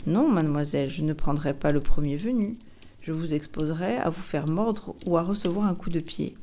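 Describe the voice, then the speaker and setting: A woman's voice: low voice, a little fast, mellow. A woman voicing a good-humoured, careful manservant in a storybook, patient and respectful as he explains. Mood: firm.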